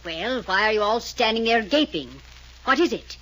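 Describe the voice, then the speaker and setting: A woman's voice: fairly high pitch, animated, moderate pace, sharp tone, rough recording. A woman playing a brusque, commanding elderly grandparent in an old-time radio mystery serial. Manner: brusque, impatient. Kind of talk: 1940s radio acting.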